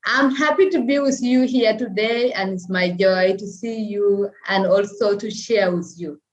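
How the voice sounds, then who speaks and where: A woman's voice: mid-range voice, a little slow, a little hiss or hum. Woman from South Sudan on dull call audio. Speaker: panel guest.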